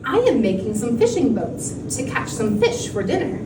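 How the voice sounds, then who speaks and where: A woman voicing a small, clever male rabbit from a storybook, with a calm, innocent manner that hides his tricks. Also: on the high side, normal speed. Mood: sweetly deceptive. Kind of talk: storybook character voice. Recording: echoey, noisy room, distant mic.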